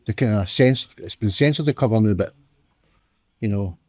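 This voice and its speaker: A man's voice: fairly low pitch, normal speed, darkish tone. A man in his fifties reminiscing in a blunt, offhand way and swearing casually. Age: 50s. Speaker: music fan guest.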